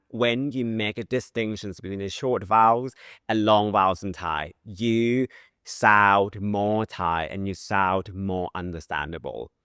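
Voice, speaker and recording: A man gives a pronunciation lesson at an unhurried pace, drawing out and repeating sample sounds. His voice is bright and clear, with a lively, wide-ranging pitch. The recording is close and dry. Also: no echo. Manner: modeling pronunciation with drawn-out sounds. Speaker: language teacher. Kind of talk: language lesson.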